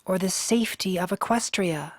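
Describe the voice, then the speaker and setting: A woman acting a stern, weary, duty-bound pony princess in a radio drama, speaking formally and gravely. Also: mid-range voice, normal speed, dry recording, clean studio sound, lightly acted. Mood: firm, dismissive.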